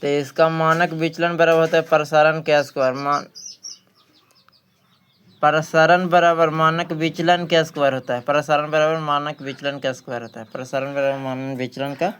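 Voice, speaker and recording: A man's voice: on the high side, flat delivery, moderate pace, fairly bright tone, slight background noise. A man with a Bihari accent teaching a maths practice lesson, repeating phrases and asking questions that he answers himself. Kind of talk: exam practice lesson, working through questions.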